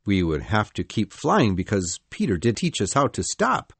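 A woman's voice: a bass voice, huge pitch swings, moderate pace, gravelly, husky, no room sound, pitched below the performer's own voice, full character voice. A woman reading aloud, voicing an earnest young boy who asks serious, respectful questions.

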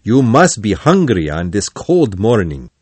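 A man's voice: lowish voice, very animated, measured pace, darkish tone, husky, no echo, heavily acted. A man voicing a kind, hospitable badger in a storybook, reassuring in manner. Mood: kindly. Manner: kindly, reassuring. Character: kind, hospitable male badger, calm host.

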